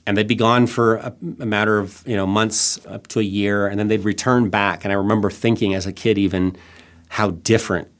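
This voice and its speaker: A man's voice: on the low side, steady tone, brisk, fairly bright tone. A middle-aged man raised in Thailand, a guest on a podcast who answers reflectively with frequent restarts. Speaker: guest, nonprofit CEO. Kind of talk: podcast interview.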